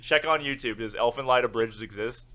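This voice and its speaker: A man's voice: medium pitch, brisk, pushed and bright. A young man co-hosting a podcast in casual, comedic chat. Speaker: podcast co-host.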